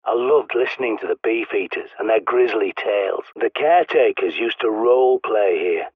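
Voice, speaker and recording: Blunt, commanding middle-aged survivalist for a game: low, rough voice over a crackly radio link, dry sarcasm. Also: male voice, lively intonation, normal speed, no room sound. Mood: grave, explanatory. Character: gruff, bossy middle-aged British survivalist guiding by radio. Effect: radio transmission filter. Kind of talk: video game voice lines. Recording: tinny, band-limited radio with static.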